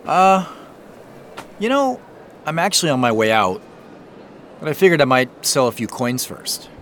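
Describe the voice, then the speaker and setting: An actor playing a weary, wry man who narrates his own secret quest in an audio drama, in a measured way. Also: medium pitch, big pitch swings, a bit bright, a little hiss or hum. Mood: casual, wry. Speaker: narrator and lead character. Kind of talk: first-person audio drama narration and dialogue.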